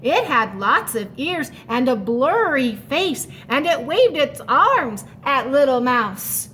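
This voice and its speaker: A woman reading a children's story aloud expressively, with animated explanatory asides. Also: high-pitched, slow pace, a little hiss or hum. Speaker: story reader.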